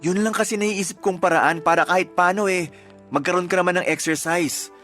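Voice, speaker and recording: A young boyfriend who keeps defending himself, with a high voice for a man. He talks in explaining, pleading turns, as in a radio drama. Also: brisk, fairly bright tone, soft background music.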